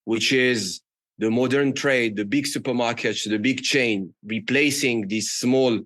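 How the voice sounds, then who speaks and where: A man from Morocco talks about himself in a conversational interview. His English is non-native, with a French accent. The pitch is average for a man and the sound is dry, with no room around it. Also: a little slow, a bit bright, dry recording. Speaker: startup founder, podcast guest.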